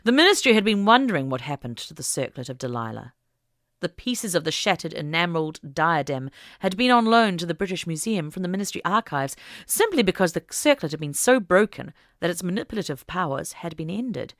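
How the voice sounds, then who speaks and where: Woman narrating an audiobook, super expressive up and down delivery, normal pitch, dry studio-ish sound. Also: brisk, dry recording. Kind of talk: expressive scripted story narration.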